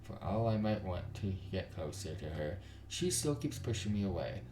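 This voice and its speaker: A man's voice: low-pitched, sing-song, normal speed, warm tone, slight background noise. A man reading a visual novel aloud as a gaming YouTuber, with dramatic delivery and his own commentary. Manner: dramatic reading, switches into character voices. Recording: roomy echo over guitar music. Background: guitar music.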